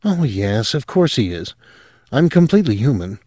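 A man voicing a young woman who is eerie and rapturous, speaking softly and breathlessly. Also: mid-range voice, big pitch swings, normal speed, no room sound, clean studio sound. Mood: thoughtful.

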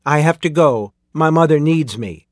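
Guy doing a young farm boy in an audiobook, higher voice, urgent n earnest, loud and pleading. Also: animated, moderate pace, no echo, clean studio sound, close to an everyday voice. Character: frantic young country boy, devoted to his mother.

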